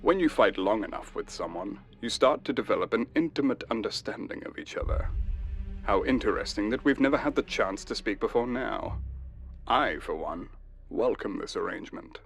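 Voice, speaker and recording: A man playing a ruthless human military officer in a science fiction audio drama, sardonic, deliberate and coldly courteous. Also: fairly low pitch, lively intonation, faint music behind. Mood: smug.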